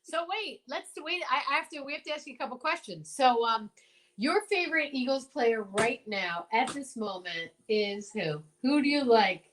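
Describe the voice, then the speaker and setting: A woman's voice: high voice, animated, moderate pace, fairly bright tone, a little hiss or hum. A woman co-hosting a show in casual interview chat, with an effusive, encouraging manner. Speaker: show co-host.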